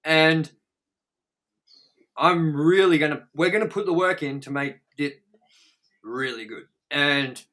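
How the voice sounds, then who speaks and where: A man's voice: medium pitch, sharp tone. A man chatting casually as co-host of a film review show, blunt and sweary, repeating words for emphasis.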